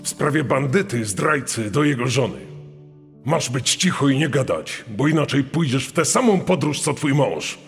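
An actor playing a threatening bandit voicing an extortion note in a dramatised true-crime reading. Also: male voice, medium pitch, animated, normal speed, creaky. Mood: menacing. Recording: long reverb over music.

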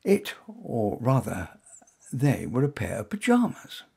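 A man reading his own short story aloud as first-person narration in a wry, expressive manner. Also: medium pitch, measured pace, gravelly, husky.

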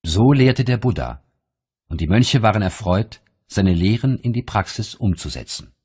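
A man narrating an audiobook of spiritual teachings in a measured, deliberate, solemn reading style. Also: lowish voice, expressive, darkish tone, breathy edge.

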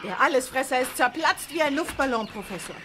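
Excitable, high, swooping young heroine. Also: female voice, fairly quick, over loud music. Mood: excited. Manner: dramatic, exclamatory. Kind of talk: German audio drama acting.

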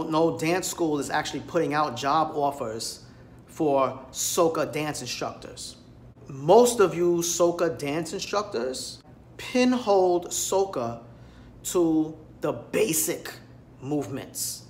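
A man's voice: fairly high pitch, slight background noise. Emphatic American man ranting to camera. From United States. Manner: emphatic, lecturing, direct address. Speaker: dance vlogger. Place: small room indoors. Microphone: phone camera at arm's length.

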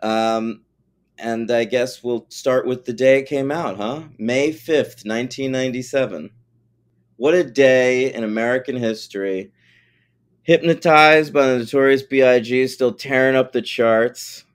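A man in his forties co-hosts a film podcast in loose, rambling chat. His voice sits at a middle pitch, and he is wry, with casual swearing. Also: unhurried, smooth. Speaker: podcast co-host.

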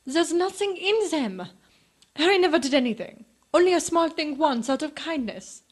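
Woman acting a guarded young woman, higher voice. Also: animated, moderate pace. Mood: pleading. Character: guarded, sorrowful young Central European woman in love. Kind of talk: radio drama acting.

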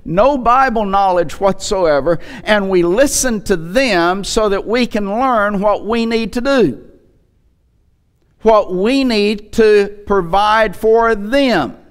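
An older man in his sixties preaches from a church pulpit. His voice sits high for a man and he takes his time, speaking slowly. He drives points home with rhetorical questions and emphatic repetition. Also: animated, clear, resonant tone. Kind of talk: sermon. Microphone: headset microphone.

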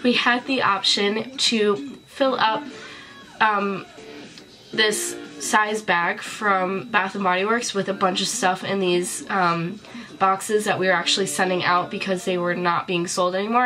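A woman's voice: medium pitch, sharp tone, rough recording. A woman in her twenties with a cold, chatting casually to the camera in a bedroom as she shows off a collection. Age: 20s. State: sick with a cold. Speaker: vlogger. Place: small bedroom, indoors.

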